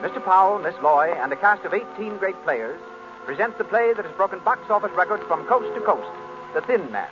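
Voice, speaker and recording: A man's voice: high-pitched, animated, brisk. A man doing formal, scripted announcing for a 1930s radio show. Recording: muffled 1930s radio recording. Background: music.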